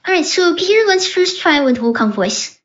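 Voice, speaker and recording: A man's voice: very high voice, big pitch swings, normal speed, a bit bright, voice pushed up, exaggerated voice. A man speaking through an AI voice changer as a young female-sounding demonstrator. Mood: explanatory. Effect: real-time AI voice changer. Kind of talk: software demonstration. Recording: dull lo-fi processed sound.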